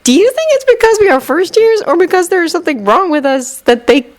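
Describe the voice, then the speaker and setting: A woman voicing a small, polite first-year schoolboy with a high voice. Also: expressive, a little fast.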